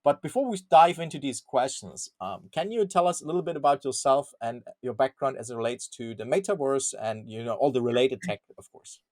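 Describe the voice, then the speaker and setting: A man hosting a video interview show, opening in a friendly, brisk manner and asking the guest questions. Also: medium pitch, darkish tone. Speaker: host. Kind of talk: upbeat show host interview.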